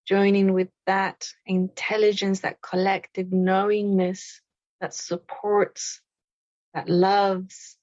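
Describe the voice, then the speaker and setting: A woman guiding a meditation in gentle, deliberate short phrases with long pauses between them. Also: medium pitch, flat delivery, no room sound, muffled recording. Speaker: meditation guide. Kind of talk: guided meditation, spoken slowly.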